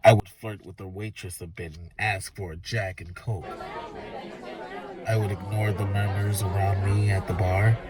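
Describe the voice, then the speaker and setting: A man's voice: sits low, level tone, normal speed, over music, a crowd in the background. A man narrating a first-person audiobook in a conversational, profane storytelling style.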